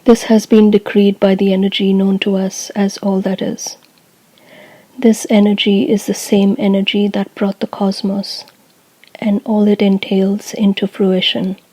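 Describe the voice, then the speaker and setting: Woman, spiritual channeler, scripted discourse, solemn and measured, near-monotone, mid-pitched, dry recording. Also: no room sound, poor audio. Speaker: channeler speaking as a group of light beings.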